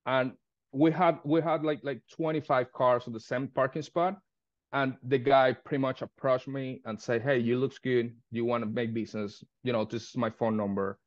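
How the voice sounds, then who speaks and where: A man in his thirties with a Spanish accent, a limo business owner speaking in halting, non-native English as a guest on a video call from home. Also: medium pitch, fairly even intonation, no echo. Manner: halting, repeats words, non-native grammar. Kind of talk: casual interview on a video call. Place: living room at home. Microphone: phone camera on a video call. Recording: dull, dry phone-call audio.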